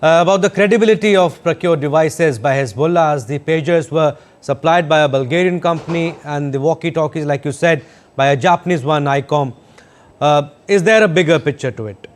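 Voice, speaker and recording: A male news anchor with an Indian accent interviews on air. His voice sits a little higher than most men's. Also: brisk. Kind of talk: broadcast interviewing.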